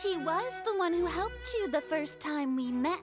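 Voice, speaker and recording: A woman's voice: very high voice, big pitch swings, moderate pace. A woman voicing a haughty, showboating young lady ruler in an anime game, pompous and dramatically grandiose. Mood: grandiose challenge. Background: background music.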